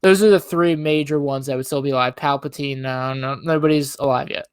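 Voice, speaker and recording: A man's voice: mid-range voice, steady tone, a little fast, smooth, no room sound, studio quality. A young man co-hosting a podcast in casual chat, explaining things in an informal, rambling way. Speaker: podcast co-host. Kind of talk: casual pop-culture chat.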